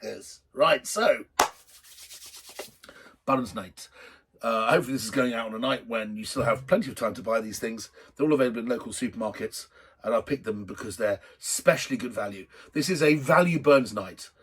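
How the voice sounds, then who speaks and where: A middle-aged man with a slightly husky voice hosting a drinks show in a rambling, jokey, blunt and sweary unscripted chat to camera. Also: medium pitch, moderate pace, fairly bright tone. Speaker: host of a drinks video show.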